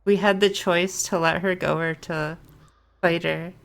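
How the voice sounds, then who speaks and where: A woman's voice: lowish voice, fairly even intonation, a little slow, vocal fry. A woman doing casual gameplay commentary, playful and switching into reading game text aloud. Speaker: game streamer.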